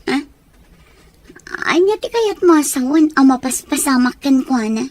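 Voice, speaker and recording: A woman's voice: high voice, expressive, unhurried, no room sound. A woman playing an outspoken, concerned best friend in an audio soap drama, pressing for answers emphatically. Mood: guarded, confiding. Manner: emphatic, probing.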